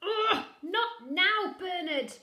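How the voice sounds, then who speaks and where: A woman's voice: extremely high pitch, lively intonation, extremely slow, pushed and bright, roomy sound, pitched above the performer's own voice, full character voice. A woman voicing a weary, dismissive parent in a children's picture book, exasperated and emphatic.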